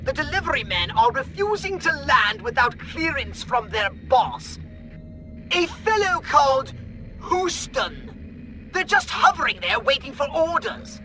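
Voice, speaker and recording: An actor playing an excitable, scheming alien mad scientist in a comic video game, theatrical and fussy. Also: male voice, very high-pitched, measured pace, faint music behind, full character voice, low-quality recording.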